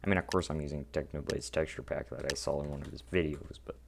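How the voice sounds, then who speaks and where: A teenage boy with a deep voice, rambling awkwardly and putting himself down while commenting on his own gameplay. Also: fairly even intonation, fast, gravelly, background noise. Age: teens. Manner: rambling, self-deprecating, awkward. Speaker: gaming channel host.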